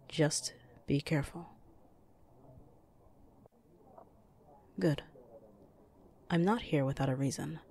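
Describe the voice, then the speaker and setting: A woman's voice: deep voice, darkish tone, slight background noise. A woman playing a stern, suspicious, bossy office enforcer in an audio roleplay, speaking curtly and with command. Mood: wary, warning. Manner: curt, commanding, guarded.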